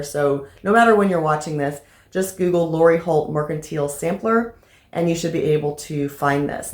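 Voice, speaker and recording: A woman with a slightly raspy voice from allergies, chatting casually about her crafting progress with lots of fillers. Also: lowish voice, a little mellow. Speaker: crafting vlogger. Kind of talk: casual show-and-tell vlog. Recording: echoey room.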